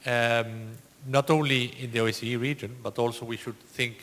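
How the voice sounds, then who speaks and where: A man, an ambassador putting a formal question from the floor at a conference, measured and hesitant with fillers. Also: medium pitch, fairly even intonation, a bit bright. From Spain. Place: conference hall. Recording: long hall reverb.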